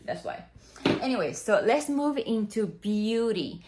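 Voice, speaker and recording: A woman's voice: fairly high pitch, expressive, a little slow, darkish tone. A woman in her mid forties from the Dominican Republic, a native Spanish speaker with a Dominican accent, chatting casually through an unboxing with many fillers. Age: 40s. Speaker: beauty vlogger. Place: room next to a window, street noise outside. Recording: faint outside noise from the window.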